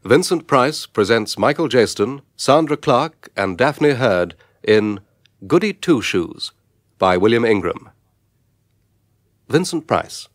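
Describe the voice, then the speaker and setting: A man announces the title and cast of a radio drama. He speaks slowly and formally. The recording is dry and close. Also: medium pitch, lively intonation, dry recording. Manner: formal and deliberate.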